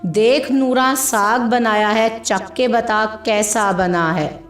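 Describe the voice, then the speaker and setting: Caring village mother, slow and echoey, voiced by a woman. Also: on the high side. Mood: tender. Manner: motherly, homely rustic phrasing. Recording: echoey voice over faint music.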